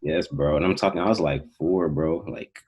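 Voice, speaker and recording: Very deep husky young man, laid-back. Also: moderate pace, a little mellow, lo-fi sound. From Houston, Texas. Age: young adult. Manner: laid-back, slangy, trailing phrases. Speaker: podcast guest. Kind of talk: casual chat.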